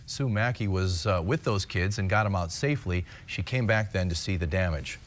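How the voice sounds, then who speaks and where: A man's voice: sits low, moderate pace, slight background noise. An American man reading scripted stories as a television news anchor.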